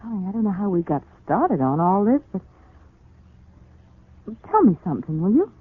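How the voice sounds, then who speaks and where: Devoted young wife, vintage radio serial. Insistent, loving, lively swings in pitch, echoey old recording. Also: female voice, moderate pace. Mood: puzzled, guarded. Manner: earnest, crisply insistent, affectionate. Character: devoted, firm, reassuring young wife and mother. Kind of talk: 1940s radio soap opera acting. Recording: muffled, echoey 1940s radio broadcast recording.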